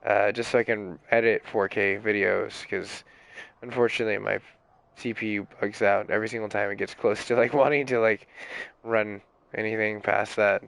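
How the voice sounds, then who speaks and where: A young man streaming games while sick, with a raspy, hoarse voice, rambling in casual chat full of fillers and swearing. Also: fairly low pitch, steady tone, normal speed, a bit bright, no echo. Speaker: game streamer.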